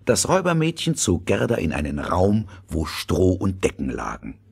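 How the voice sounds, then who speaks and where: Low-pitched male fairy-tale narrator. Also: huge pitch swings, moderate pace, vocal fry, slightly rough.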